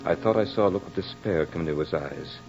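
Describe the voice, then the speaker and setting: A muffled old radio recording with music carries a man playing a proud soldier and duelist. His voice is low, his delivery florid and theatrical, in the grand manner of a mid-century horror broadcast. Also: quick pace, a little mellow, lightly acted. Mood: brooding, remorseful. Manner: theatrical, formal, florid diction. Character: proud battle-hardened soldier duelist, remorseful as he narrates. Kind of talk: 1940s-50s radio horror drama acting.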